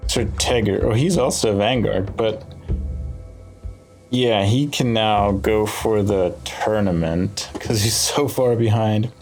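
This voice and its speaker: A man's voice: on the low side, slow pace, a little mellow. A man giving casual playthrough commentary on a game, thinking aloud as he decides, with game music behind him. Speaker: gaming video host.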